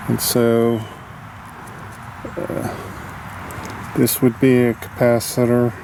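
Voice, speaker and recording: A man giving a casual, unscripted hands-on repair demonstration, halting as he thinks aloud. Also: mid-range voice, completely flat delivery, darkish tone. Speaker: hobbyist radio restorer demonstrating a repair. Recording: clearly noisy amateur video sound.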